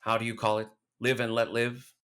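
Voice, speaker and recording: A man playing a grave, loyal alien mystic with formal, measured phrasing in an audio drama. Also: medium pitch, monotone, a bit bright, smooth, lightly acted. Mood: earnest, loyal.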